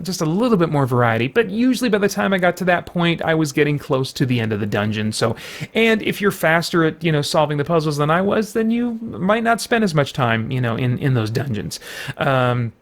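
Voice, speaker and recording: A man giving a casual, rambling game review, full of fillers and restarts. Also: on the high side.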